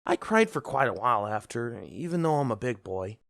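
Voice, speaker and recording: A man reads a scripted story in the first person as a child. His voice is medium in pitch with lively, swinging intonation, and his manner is earnest and boyish. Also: a little fast, gravelly, no echo, studio quality. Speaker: narrator.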